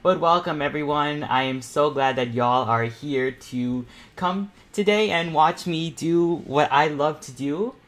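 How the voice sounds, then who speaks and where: A teenage male streamer chatting casually as host of an online painting panel, nervous and excitable, in a rambling way. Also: on the high side, measured pace. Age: teens. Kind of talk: casual livestream chat. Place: indoors at a computer, live stream.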